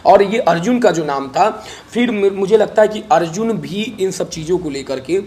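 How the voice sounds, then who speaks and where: A man's voice: high voice, expressive, moderate pace, darkish tone. A man giving unscripted interview answers in an emphatic way, repeating phrases for weight. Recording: noisy recording with background ambience.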